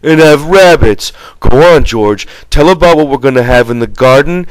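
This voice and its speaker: A man's voice: medium pitch, lively intonation, smooth, no room sound, voice pushed up, close to an everyday voice, rough recording. Guy doing a big simple childlike farmhand, eager n pleading, rustic grammar, clean dry audiobook sound. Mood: delighted, eager. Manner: simple, drawn-out, ungrammatical rural speech.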